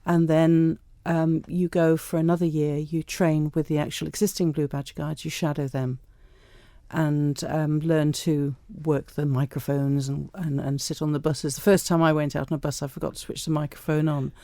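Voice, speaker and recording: A woman's voice: low-pitched, flat delivery, moderate pace, warm tone, dry recording. A middle-aged woman with a big voice, chatting anecdotally as a studio interview guest. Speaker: studio guest, self-employed tour guide. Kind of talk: conversational interview. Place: radio studio.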